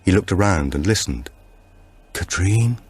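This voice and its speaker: A man narrates a suspense audiobook in a low, husky voice. His reading is measured and dramatic, with plenty of movement in the intonation. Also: lively intonation. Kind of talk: dramatic scripted narration of a thriller.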